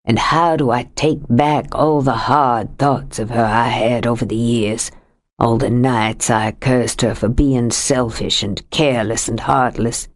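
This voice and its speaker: A woman voicing a grieving, stubborn middle-aged widower who speaks low, heavy and bitter in an audiobook. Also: steady tone, a little slow. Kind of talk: audiobook character reading.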